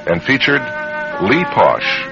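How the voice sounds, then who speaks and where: A man's voice: medium pitch, huge pitch swings, slow pace. A man reading scripted radio credits as the show's writer and producer, in an announcer's style. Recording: dull radio recording with music behind. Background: music.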